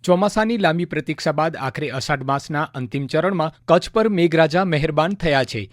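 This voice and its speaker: A male news reporter with a notably high voice. He narrates a scripted radio report in a very dry, close sound. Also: no room sound.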